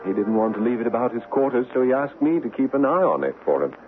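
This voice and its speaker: An actor playing an earnest, smitten British army major in colonial society, formal and gallant, in old-time radio drama style. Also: male voice, medium pitch, lively intonation, quick pace, faint music behind, lightly acted. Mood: rueful. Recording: muffled old radio recording with hiss.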